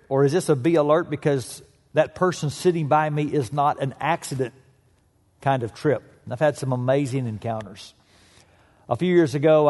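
A man's voice: mid-range voice, darkish tone, long reverb tail. A man preaching a sermon, telling a personal story in a conversational style. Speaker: pastor.

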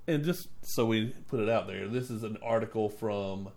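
A man's voice: fairly low pitch, normal speed, gravelly, darkish tone. A man co-hosting a casual chat at home with his child, prompting with leading questions. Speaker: podcast co-host talking with his child.

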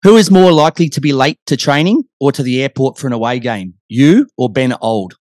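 A man's voice: medium pitch, a little fast, darkish tone, no room sound, studio quality. A man hosting a casual sports chat, putting questions to his guest as interviewer. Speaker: interview host.

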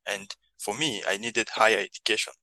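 A man's voice: fairly low pitch, fairly even intonation, a little fast, gravelly, bright, forceful tone, dry recording, rough recording. A man with a Congolese accent speaking earnest, non-native English as he gives reflective answers as a podcast guest. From Democratic Republic of Congo. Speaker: podcast guest, social entrepreneur.

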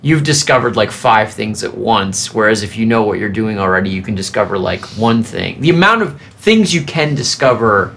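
A man's voice: on the low side, lively intonation, moderate pace, gravelly, hardly any pauses, a bit bright, a little hiss or hum. A man hosting a casual interview, curious and riffing on ideas with his guest. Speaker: podcast host.

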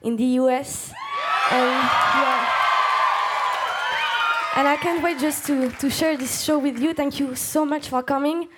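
A jet-lagged singer with a French accent chatting and giggling to the crowd between songs, calling out loudly from a stage mic. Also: female voice, sits high, fairly even intonation, a little slow, pauses often, heavy background noise. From France. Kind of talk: between-song stage banter. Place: live music venue. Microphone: stage vocal mic. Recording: roomy live concert sound with loud band. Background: band music and audience.

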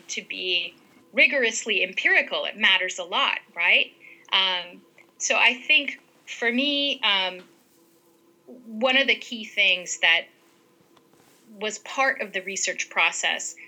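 A woman's voice: mid-range voice, sharp tone, low-quality recording. A woman author and ethnographer, a native Western Armenian speaker, answering interview questions thoughtfully with many fillers and restarts.

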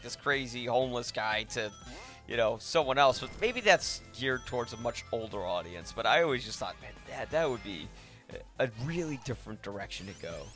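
A man's voice: mid-range voice, fairly bright tone. Male podcast host, mid-range voice, loose rambling film chat, music in the mix. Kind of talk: casual film discussion.

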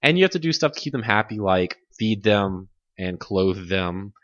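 A man with a fairly low voice talks casually and loosely, rambling as a gaming podcast host. The sound is close and dry, slightly dull and lo-fi. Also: lively intonation, normal speed, fairly bright tone, no room sound. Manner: rambling, laughs often. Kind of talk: casual chat about video games.

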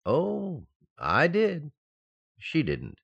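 Radio sitcom husband: male actor, eager and boyish, hugely swooping pitch, slightly muffled sound. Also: wildly swooping pitch, extremely slow, vocal fry, fairly bright tone, slightly rough, heavily acted. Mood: relieved, eager. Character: eager, playful young husband and office employee. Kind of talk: old-time radio sitcom acting.

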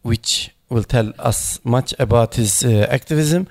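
A man speaking at a memorial panel, halting and searching for words, with many fillers and restarts in English that is not his first language. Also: mid-range voice, a little mellow. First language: not English; foreign accent in Turkish noted.

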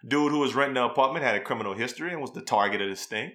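Male podcast co-host, bright forceful voice, slangy, blunt and emphatic, casual commentary, mid pitch. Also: rapid-fire.

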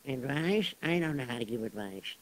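A man's voice: medium pitch, lively intonation, normal speed, close to an everyday voice, rough recording. An actor playing a bumbling male servant who stammers and fumbles for words.

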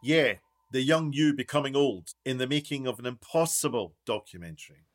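Actor playing a pompous, brusque documentary maker. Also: male voice, mid-range voice, animated, normal speed, close to an everyday voice. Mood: exasperated. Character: self-important, impatient man making a documentary. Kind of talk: radio play acting.

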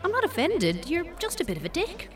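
A woman's voice: very high voice, wildly swooping pitch, a little fast, over loud music, exaggerated voice. An actress playing the mischievous, sweary inner voice of a date-weary Irish woman in an audio drama. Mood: sardonic. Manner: sweary, sardonic.

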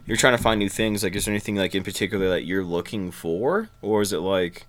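Low-voiced, profane twenty-something man. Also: fast. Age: 20s. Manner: profane, animated, laughs often. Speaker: musician guest. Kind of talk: casual conversation.

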